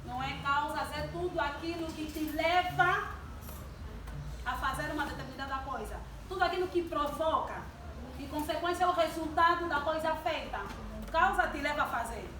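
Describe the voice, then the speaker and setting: Young woman in her twenties, high voice, preaching to a congregation and calling for responses; recorded from a distance with room noise. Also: moderate pace. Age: 20s. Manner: asks the audience questions and draws responses. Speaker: church youth speaker. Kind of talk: sermon-style talk to a congregation. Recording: distant mic in an echoey hall with background noise.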